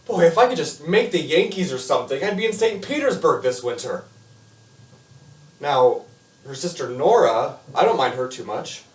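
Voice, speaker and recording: A man performing a stage monologue as a talkative, confiding teenage boy, with animated delivery and emphatic asides. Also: on the high side, lightly acted. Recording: echoey room, far from mic.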